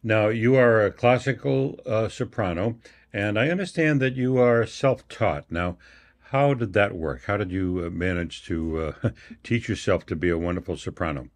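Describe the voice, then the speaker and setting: A man hosting a radio interview, asking questions in a measured way with occasional fillers. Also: on the low side. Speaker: radio host.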